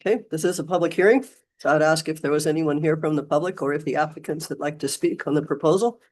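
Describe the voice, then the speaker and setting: A woman chairing a county commission meeting, hoarse and losing her voice, moving things along with brisk procedural phrasing. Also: deep voice.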